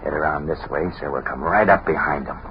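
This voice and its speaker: An actor playing a tough, cunning, bullying American racketeer boss in an old radio crime drama. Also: male voice, a bass voice, fast talker. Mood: low, conspiratorial. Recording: muffled, noisy old radio recording.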